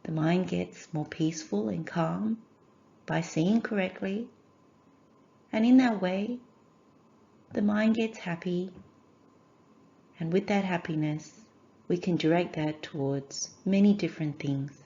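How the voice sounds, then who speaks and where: A woman teaching a guided meditation in measured, gentle phrases with short pauses. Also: fairly low pitch, gravelly, muffled recording.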